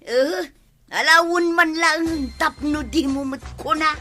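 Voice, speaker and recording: A woman's voice: sits high, slow pace, pushed and bright, soft background music, heavily acted. An actress playing a nosy older village woman in a comedy radio drama, speaking with an Ilocano accent. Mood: chatty, nosy.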